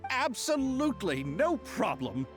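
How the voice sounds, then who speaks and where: Animated, deferential filmmaker. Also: male voice, extremely high pitch, measured pace, over music, exaggerated voice. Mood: thrilled, deferential. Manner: polite, hesitant, dramatic. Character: deferential, excitable male filmmaker, humble and grateful. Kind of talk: video game cutscene voice acting.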